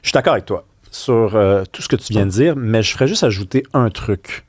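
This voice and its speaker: A male talk-radio host with a Québécois French accent, whose pitch rises and falls a great deal as he argues. He asks rhetorical, pointed questions. The recording is dry and close, with no room sound. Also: expressive, fairly quick, a little mellow, breathy edge, dry recording. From Quebec.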